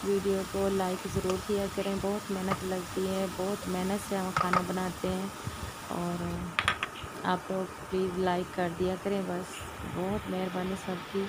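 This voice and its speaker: Flat-voiced woman cooking at home. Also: fairly low pitch, a little slow. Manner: steady, explains each step, often adds a checking "theek hai". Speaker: cooking channel host. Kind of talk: casual cooking demonstration. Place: home kitchen, over a stove. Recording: loud kitchen noise behind, sizzling frying.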